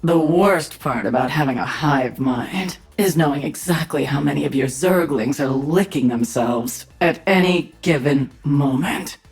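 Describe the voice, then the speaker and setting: A sardonic psionic warrior queen from a video game. Her voice is low and husky, with a dry, commanding manner. Music plays behind her. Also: measured pace, hardly any pauses, a little mellow, full character voice. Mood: menacing, sardonic. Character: sardonic psionic alien-hybrid warrior queen, adult woman. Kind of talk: video game character voice lines.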